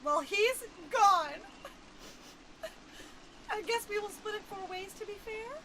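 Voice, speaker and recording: A woman's voice: very high-pitched, animated, takes it slowly, fairly bright tone, slight background noise, heavily acted, poor audio. A woman playing a prim, fretful and melodramatic heiress in an amateur murder mystery audio drama. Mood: flighty. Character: prim, dramatic heiress Penelope, falsely innocent.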